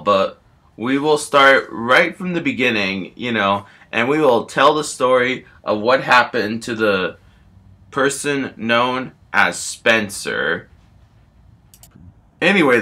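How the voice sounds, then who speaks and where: A man voicing a calm talking fish statue who tells stories in a rambling, hesitant, improvised way. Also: mid-range voice. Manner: rambling, hesitant, filler-heavy. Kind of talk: improvised storytelling.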